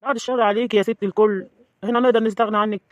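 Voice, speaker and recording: Someone playing a devoted Egyptian son, rapid-fire. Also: medium pitch, fairly even intonation, muffled recording, lightly acted. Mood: reassuring. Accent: Egyptian Arabic. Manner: brisk, soothing, calls her "lady of all". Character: devoted adult son, reassuring his mother.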